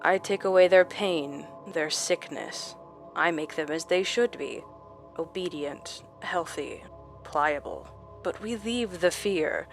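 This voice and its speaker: A woman voicing a sadistic male doctor who savors fear, speaking slowly and in a hushed, gloating way in a horror audio drama. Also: fairly low pitch, animated, gravelly, soft background music, heavily acted.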